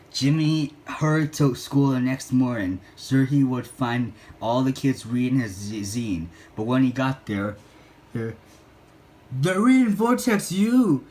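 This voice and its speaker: Man reading a storybook, halting delivery. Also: medium pitch, a little mellow, slight background noise. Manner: halting, often stumbling over words. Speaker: read-along narrator. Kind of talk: storybook narration.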